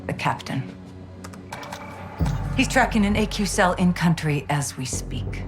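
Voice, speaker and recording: Cool intelligence officer, calm measured briefing, lowish voice, loud score behind, game cutscene. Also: female voice, echoey room, music in the background. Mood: firm.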